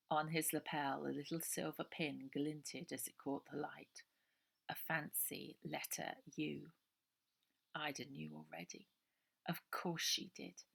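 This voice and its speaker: A woman's voice: low voice, creaky, a bit bright. A woman reading a children's novel aloud as scripted story narration.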